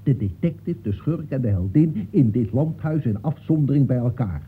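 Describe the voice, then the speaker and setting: A man's voice: mid-range voice, animated, normal speed, narrow, tinny audio, lightly acted. An actor playing a boastful, indignant seasoned policeman from a novel, blustering in an old radio play. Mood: self-important. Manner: blustering, self-important. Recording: thin, noisy old radio recording.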